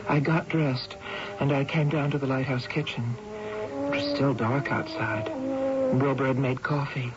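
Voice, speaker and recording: An actress playing a shaken, bewildered estranged wife in a radio drama, with a hesitant, dramatic delivery. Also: female voice, very deep voice, animated, measured pace, music in the background. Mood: haunted recollection. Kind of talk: radio drama acting and narration. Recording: dull lo-fi radio broadcast.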